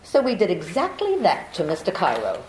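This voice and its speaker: A woman's voice: fairly low pitch, wildly swooping pitch, normal speed, darkish tone. A woman playing a beguiling, deceitful young woman in trouble in a 1940s-style radio play, coy and pleading. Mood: evasive. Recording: echoey room.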